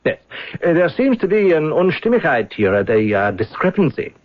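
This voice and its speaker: An actor playing a courteous, probing German police inspector with a German accent and hesitant, formal politeness in a radio drama. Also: male voice, fairly high pitch, big pitch swings, normal speed. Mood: politely probing. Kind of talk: 1970s radio drama acting. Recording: dull lo-fi old radio recording.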